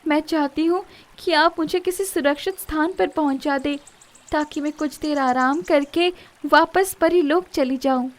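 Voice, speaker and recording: Woman voicing a high-pitched, earnest young fairy. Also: unhurried. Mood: polite, earnest. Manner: formal, expressive, dramatic delivery. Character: young female fairy, polite, earnest, anxious damsel.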